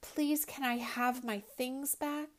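A bossy, whiny little girl from a children's storybook, put on by a woman reader. She sounds high and squeaky and draws out her demands at a slow pace. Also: steady tone. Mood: polite.

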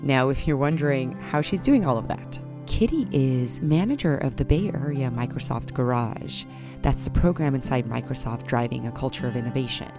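A woman's voice: rumbling low, expressive, moderate pace, music in the background. A woman hosting an interview show, reading a scripted introduction and asking curious, enthusiastic questions. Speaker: podcast host.

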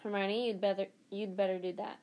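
A determined, defiant teenage boy, voiced by a woman reading aloud with an urgent, intense delivery. The voice stays close to her own mid-range pitch, lightly acted for a storybook. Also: flat delivery, brisk, creaky, a little mellow, lightly acted. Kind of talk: reading a children's novel aloud.

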